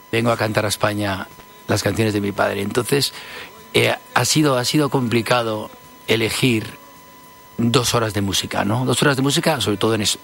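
Interview guest: man in his fifties from Spain, low gravelly voice, halting, repeats himself. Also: fairly bright tone, a little hiss or hum. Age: 50s. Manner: hesitant, repeats words often. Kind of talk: casual interview talk.

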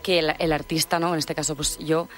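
A woman's voice: low-pitched, flat delivery, a little fast. Chatty Catalan-born woman singer. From Catalonia, Spain. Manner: chatty, reflective, many fillers. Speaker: singer guest. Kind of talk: radio interview. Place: radio studio.

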